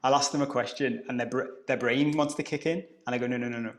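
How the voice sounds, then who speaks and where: A middle-aged man from Liverpool with a softened Scouse accent, explaining ideas as a coach on a podcast. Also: medium pitch, steady tone, fast talker. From Liverpool, England. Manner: explanatory, conversational, occasional swearing. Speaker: guest coach. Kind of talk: podcast conversation.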